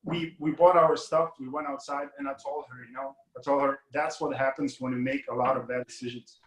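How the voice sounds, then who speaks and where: A man's voice: mid-range voice, fairly even intonation, a little fast, reverberant, low-quality recording. A man from Lithuania, a money mindset coach talking as a guest in an interview, rambling with many fillers and restarts. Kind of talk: casual interview talk.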